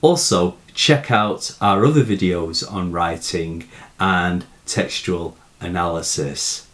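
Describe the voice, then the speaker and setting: Male literature teacher, low voice, very unhurried, lively intonation, scripted lecture delivery, deliberate phrasing. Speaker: literature teacher presenting poem analysis. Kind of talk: scripted narration, educational lecture.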